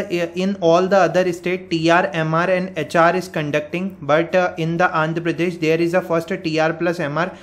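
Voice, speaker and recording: Higher-pitched Indian man explaining step by step. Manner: repetitive, step-by-step explaining with frequent check words. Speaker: career coaching presenter. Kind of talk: informal explanatory update for job candidates. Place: voice-over on a screen recording.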